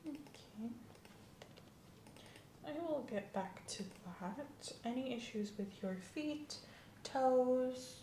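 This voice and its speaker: A woman's voice: high voice, slow, pauses often, background noise. Woman in roomy ASMR medical roleplay. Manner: methodical, questioning, professional bedside delivery. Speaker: ASMR performer playing a medical examiner.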